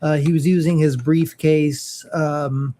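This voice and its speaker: A man chatting casually on a morning podcast, halting and searching for words as he shows off collectibles. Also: on the high side, fairly even intonation, dark tone. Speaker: podcast co-host. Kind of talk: casual morning show chat.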